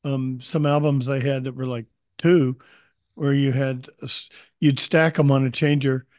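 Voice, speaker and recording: A man's voice: mid-range voice, little pitch movement, unhurried, darkish tone, no room sound, studio quality. A man chatting casually and explaining things step by step.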